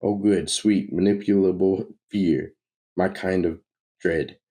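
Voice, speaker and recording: A man's voice: low voice, steady tone, slow, warm tone. A man voicing a manic, sadistic villain who gloats theatrically while reading a comic book story aloud. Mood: hungry gloating.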